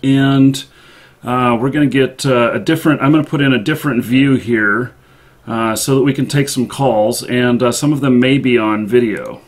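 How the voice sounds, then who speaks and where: A man hosts a live online show in a casual, chatty way. His voice sits at a middle pitch. He speaks haltingly, feeling his way through each sentence. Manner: halting, hesitant delivery. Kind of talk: casual livestream chat.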